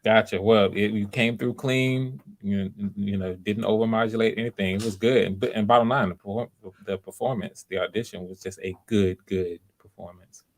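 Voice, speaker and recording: Gravelly low-pitched male audition host. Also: steady tone, normal speed, few pauses. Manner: informal, encouraging, repeats phrases. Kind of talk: casual livestream chat.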